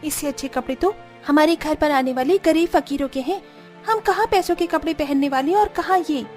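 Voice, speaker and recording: A woman's voice: sits high, moderate pace, darkish tone, faint music behind, close to an everyday voice. A woman voicing a snobbish, scornful, rich mother-in-law in a cartoon story. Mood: scornful, outraged. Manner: scornful, sneering.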